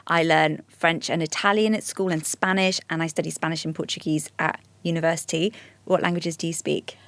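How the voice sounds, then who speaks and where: A woman's voice: low voice, fairly even intonation, a little fast, gravelly, a bit bright, no echo. Woman hosting a radio podcast. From United Kingdom. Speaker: podcast host. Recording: dry studio voice with faint music.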